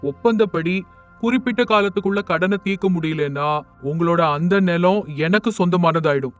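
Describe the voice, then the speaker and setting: Casting: man to play formal, unbending village moneylender in animated tale, higher-pitched voice, faint music bed. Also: normal speed, natural voice. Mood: businesslike. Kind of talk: animated moral story dialogue. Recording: music under the voice.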